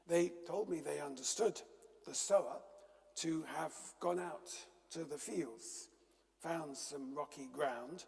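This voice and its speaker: An older man giving a church lecture, telling wry stories in a measured way with frequent ums. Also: fairly high pitch, creaky. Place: church hall. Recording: long reverb of a church hall.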